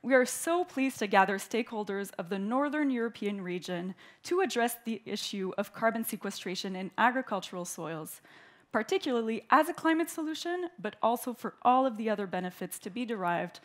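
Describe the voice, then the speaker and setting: A woman from Canada giving the formal welcome speech at a conference, clear and orderly as she reads prepared remarks into a stage microphone. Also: fairly high pitch, animated, a little fast. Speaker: event host, opening speaker. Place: conference hall.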